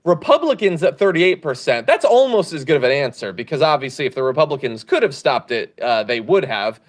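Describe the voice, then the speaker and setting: A man's voice: a little high, sing-song, brisk. A man hosting a political commentary show, giving blunt, sarcastic opinions on the news.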